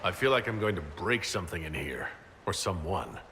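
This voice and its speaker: An actor playing a calm, polite male military leader in a video game, courteous with a dry manner. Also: deep voice, normal speed, slight background noise, exaggerated voice. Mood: dry, puzzled. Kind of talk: video game cutscene acting.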